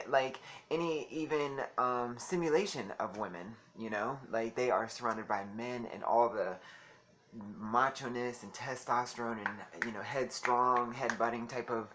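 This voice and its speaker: Soft-voiced chatty man, bright tone. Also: medium pitch, slight background noise. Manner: chatty, giggly, calls listeners honey. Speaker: vlogger. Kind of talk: casual talk to camera.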